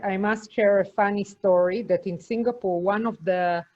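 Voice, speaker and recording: A woman's voice: mid-range voice, steady tone, moderate pace, a little mellow. Hebrew-speaking woman telling a chatty food story, kinda muffled recording. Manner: chatty, tells anecdotes with laughter. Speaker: host and food tour guide in Singapore. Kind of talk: casual storytelling chat. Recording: slightly dull sound.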